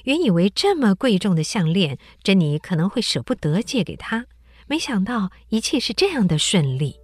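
A woman narrating a radio drama in an expressive, scripted storytelling style. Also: mid-range voice, normal speed.

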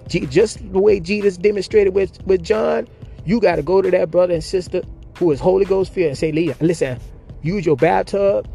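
A man preaching a fervent sermon, calling out to the congregation and swinging from low murmurs to high cries. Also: brisk, vocal fry, warm tone, soft background music. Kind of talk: impassioned sermon.

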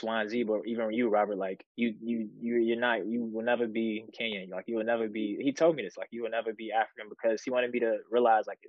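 A young man in his twenties from Chicago, telling a story on a student podcast in a steady, low-key way. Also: dry recording. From Chicago, United States. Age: 20s. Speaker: student guest. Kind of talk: casual podcast conversation. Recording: dry, dull lo-fi sound.

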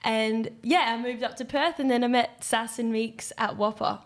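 A woman's voice: on the high side, steady tone, moderate pace. A young woman with an Australian accent, a musician guest telling stories earnestly in casual chat. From Western Australia.

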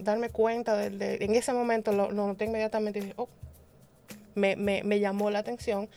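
A woman's voice: mid-range voice, darkish tone. A woman from the Dominican Republic on a panel, telling stories with many restarts and tag questions. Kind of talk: conversational panel discussion.

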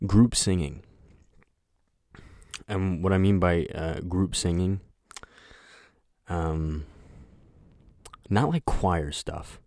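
A young man hosting a solo podcast, talking in a flat, monotone voice that rambles, with lots of hesitation and filler words. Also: low-pitched, extremely slow, pauses often, no echo, clean studio sound. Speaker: podcast host. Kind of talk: casual solo podcast chat.

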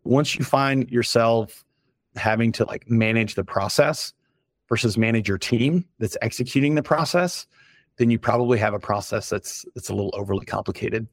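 Slightly hoarse man talking frankly. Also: mid-range voice, moderate pace, no room sound, studio quality. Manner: conversational, frank, lists points with filler "like". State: a little hoarse. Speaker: company founder, podcast guest. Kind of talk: casual business podcast talk.

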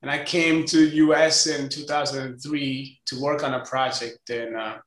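A man's voice: mid-range voice, little pitch movement, normal speed, fairly bright tone, echoey room. A man in his forties from India, with an Indian accent, casually telling stories as an interview guest. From Chennai, India. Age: 40s. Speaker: interview guest, company co-founder. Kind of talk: casual conversational storytelling.

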